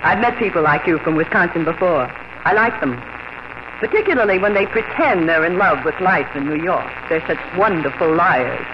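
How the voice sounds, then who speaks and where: A breezy East Coast woman played by an actress with lively, swooping intonation. She is arch, gushing and wryly teasing, in a vintage radio play with clear noise behind. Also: on the low side, normal speed, lightly acted. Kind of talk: 1950s radio drama acting. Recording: noisy, muffled old radio broadcast.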